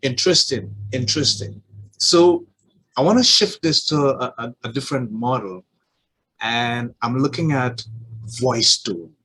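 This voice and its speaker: A man hosting a podcast, asking his guest relaxed, open questions. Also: medium pitch, measured pace, husky, rough recording. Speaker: podcast host. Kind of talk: casual interview chat.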